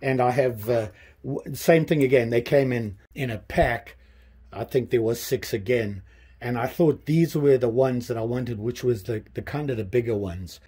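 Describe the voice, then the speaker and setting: A man in his fifties casually showing his tools in a small workshop, talking in a relaxed, rambling way with many pauses and restarts. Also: medium pitch, breathy edge. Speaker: hobbyist channel host. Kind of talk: casual show-and-tell vlog. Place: small workshop with pegboard tool wall, indoors.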